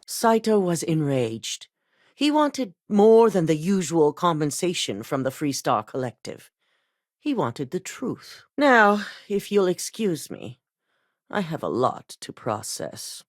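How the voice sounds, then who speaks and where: An actress voicing a composed, commanding corporate executive woman in a video game, speaking in a measured, formal, authoritative way. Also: lowish voice, big pitch swings, no echo, clean studio sound. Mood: calm. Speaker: corporate executive giving assignments.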